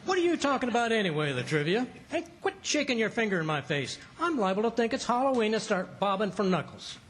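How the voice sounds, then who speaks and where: A man playing a stubborn, excitable, wisecracking husband in an old-time radio comedy. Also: sits high, fast, a little hiss or hum. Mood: blustering, argumentative.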